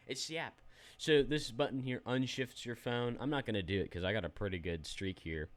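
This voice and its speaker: A man's voice: mid-range voice, normal speed, no echo. A young adult man giving a rambling, self-deprecating, unedited review of a phone app while sharing his screen.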